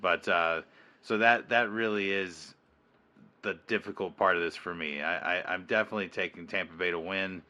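Deep-voiced man with a bright edge. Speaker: podcast co-host. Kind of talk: casual sports podcast chat.

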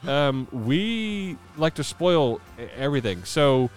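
A man's voice: mid-range voice, big pitch swings, takes it slowly, soft background music. A man co-hosting a casual podcast, sick with a stuffy nose, rambling with lots of fillers and laughing often. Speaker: podcast co-host.

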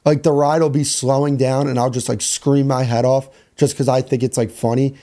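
A young man with a hoarse voice, hosting a solo sports podcast in a casual, rambling way that repeats phrases. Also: mid-range voice, level tone, moderate pace, mellow. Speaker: podcast host.

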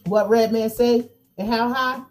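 A woman's voice: fairly high pitch, fairly even intonation, measured pace, soft background music. A middle-aged woman co-hosting a podcast, bantering casually in a frank, teasing, slangy way. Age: 40s or older. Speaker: podcast co-host and poetry show host.

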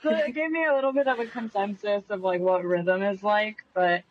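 A twenty-year-old woman who plays bass in a band, chatting in an upbeat way and laughing easily. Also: a little fast, no room sound, muffled recording. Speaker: band bassist. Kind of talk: casual interview chat.

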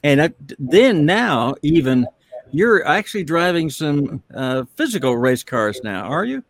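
Man, podcast host, higher voice, dry recording, rambling questions. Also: sing-song, unhurried, dry recording. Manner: long, winding questions. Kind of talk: casual interview.

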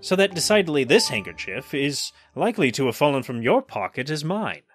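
Suave male musketeer, acted by a narrator, cold, haughty, formal, expressive pitch swings, storybook, faint music. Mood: wry, challenging. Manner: formal, measured, cutting.

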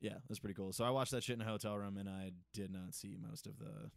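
A relaxed young man with a lowish voice chats and jokes on a podcast, dropping swear words and laughing between stories. He is close to the mic in a dry, dead room. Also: fast talker, vocal fry, no echo. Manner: loose, swears a lot, laughs often. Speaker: podcast co-host. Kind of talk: casual chat.

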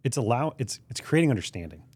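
A man's voice: mid-range voice, very animated, fast talker, darkish tone, breathy edge, no echo. A man hosting a podcast, musing reflectively and affirming his guest. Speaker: podcast host. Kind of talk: podcast conversation.

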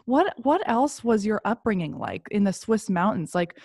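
A woman's voice: medium pitch, big pitch swings, normal speed, darkish tone, slightly rough, no room sound, studio quality. A woman hosting a podcast, welcoming listeners and introducing her guest with effusive praise before interviewing her. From United States. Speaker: podcast host.

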